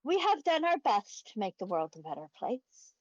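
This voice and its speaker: A woman voicing a small, solemn rodent teacher who speaks in measured, formal, fatherly phrases in a tabletop game. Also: fairly high pitch, very animated, no room sound, lo-fi sound. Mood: solemn, regretful.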